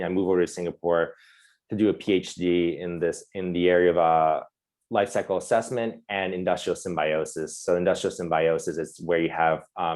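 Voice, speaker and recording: A young man speaking casually as a webinar panelist over a video call, halting with many fillers and restarts. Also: low-pitched, a little mellow.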